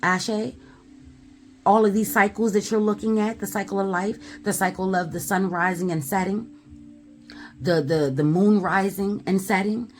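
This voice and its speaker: A woman giving a spiritual tarot message in a loud, emphatic voice, blunt and at times swearing, punctuating her points with repeated affirmations. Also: mid-range voice, steady tone, moderate pace, soft background music. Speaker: tarot reader.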